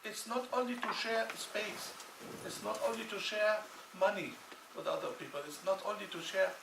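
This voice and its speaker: A man's voice: high-pitched, fairly even intonation, moderate pace, vocal fry. A man from Lebanon giving a conference talk in non-native English, emphatic and repeating phrases for stress. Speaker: conference speaker. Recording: echoey hall, distant mic, noisy.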